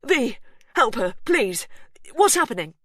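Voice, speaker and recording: A woman voicing a desperate young husband who shouts in panic and grief. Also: fairly low pitch, a little slow, husky, dry recording, studio quality. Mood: frantic.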